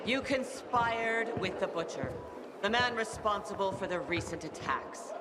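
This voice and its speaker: An actor playing a stern officer formally declaiming charges and judgment in a video game. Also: male voice, high-pitched, animated, measured pace, very noisy. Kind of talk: video game cutscene acting.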